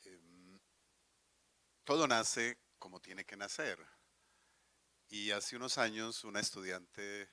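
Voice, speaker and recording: Bright-voiced law professor. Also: male voice, lowish voice, no echo. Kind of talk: conference remarks.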